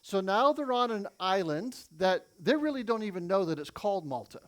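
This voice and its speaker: A man's voice: high voice, very animated, normal speed. English-speaking man preaching in short phrases. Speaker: missionary preacher. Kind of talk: sermon with an interpreter, storytelling.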